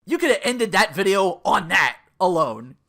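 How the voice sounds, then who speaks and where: Give a bright, forceful voice with very lively swings in pitch. It is a podcast host doing casual, rambling commentary. The delivery is sardonic and sweary, slipping into acted-out quotes. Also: normal speed. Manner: sardonic, profane, animated. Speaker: podcast host and content creator.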